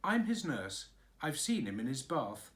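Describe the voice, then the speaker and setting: A man voicing a bossy little old woman nurse in a storybook reading, brisk and scolding. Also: mid-range voice, big pitch swings, fairly bright tone.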